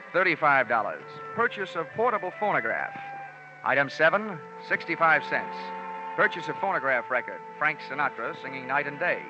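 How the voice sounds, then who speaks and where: An actor narrating as a wry insurance investigator in a 1940s radio drama, with clipped first-person narration. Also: male voice, a little high. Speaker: narrator and lead detective. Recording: lo-fi old radio recording.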